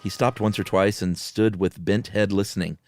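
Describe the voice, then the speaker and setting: A man's voice: deep voice, fairly even intonation, moderate pace, darkish tone. A man hosting and reading a horror story dramatically, with casual asides. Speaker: podcast host and story reader. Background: music.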